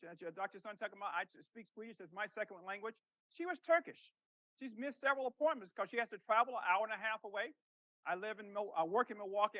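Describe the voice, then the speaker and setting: Man, middle-aged, rheumatologist lecturing, fast-talking, high voice, storytelling, dry sound. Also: pushed and bright, dry recording, rough recording. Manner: storytelling, conversational, asks rhetorical questions. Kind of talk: lecture to a professional audience. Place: lecture room.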